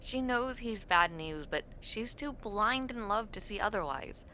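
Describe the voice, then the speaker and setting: Young woman student acted by a woman, audio roleplay, friendly and teasing, casual, leisurely pace, lively intonation, faint background noise. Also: medium pitch. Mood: sympathetic, exasperated. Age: young adult. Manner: teasing, casual, expressive with drawn-out words and murmured reactions. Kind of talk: audio roleplay, casual one-sided conversation with the listener.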